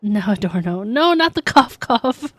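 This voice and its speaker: A woman gives casual reaction commentary on a game stream. Her voice is fairly high and unhurried, giggly and exclaiming, captured close and dry. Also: sing-song, dry recording.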